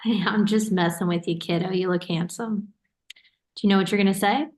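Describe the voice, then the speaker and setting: A woman acts out a young adult older sister in a contemporary stage play. She has a medium-pitched voice and talks in a dry, casual, teasing way, with affection underneath. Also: steady tone, normal speed, a little mellow, close to an everyday voice. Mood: playful, affectionate.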